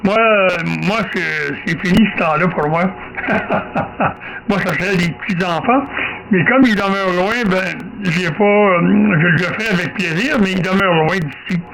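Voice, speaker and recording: A man's voice: high-pitched, measured pace, over a noisy crowd, rough recording. An older man with a Quebec French accent, chatting casually over amateur radio in a rambling, repetitive way. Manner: rambling, repeats himself. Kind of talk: casual radio contact chat.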